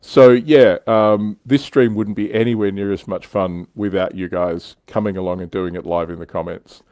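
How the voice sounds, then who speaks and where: A man's voice: on the low side, steady tone, moderate pace, a little mellow, dry recording. A man hosting a casual hobby livestream chat with wry, teasing banter. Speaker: livestream host.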